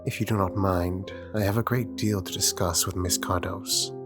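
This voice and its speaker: A man's voice: deep voice, steady tone, moderate pace, lightly acted. A man playing a stern, condescending master of magic at a college, speaking formally and imperiously in a fantasy audio roleplay. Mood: formal, composed. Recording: music playing behind the voice. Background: instrumental music.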